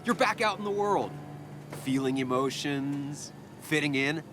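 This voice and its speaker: An actor playing a teasing yet supportive inner-voice companion in a video game, with a wry, coaxing manner. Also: male voice, a little high, animated, a little slow, background noise. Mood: coaxing, reassuring.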